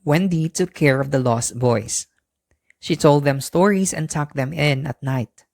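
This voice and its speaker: A storyteller reads a children's bedtime tale from a script at a middle pitch. The sound is dry and close, with no room around it. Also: a little slow, vocal fry, darkish tone, no echo.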